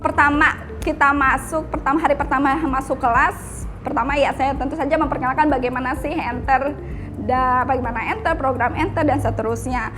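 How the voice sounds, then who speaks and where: A woman's voice: sits high, fairly even intonation, brisk, music in the background. A woman teacher casually describing her classes in an interview, with fillers and restarts.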